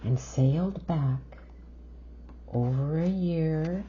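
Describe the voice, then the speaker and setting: A woman reading a picture book aloud to children in an expressive, drawn-out storytelling manner, full of wonder. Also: sits low. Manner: expressive, drawn-out phrasing, gasps of wonder and questions to young listeners. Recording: dull lo-fi sound with faint noise.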